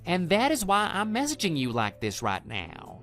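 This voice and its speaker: Actor as a smug love rival with swooping pitch. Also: male voice, wildly swooping pitch, normal speed, a bit bright, soft background music, full character voice. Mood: gloating. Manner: smug, condescending, dismissive. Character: smug, brazen male lover taunting a betrayed husband. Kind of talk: scripted texting-story radio drama.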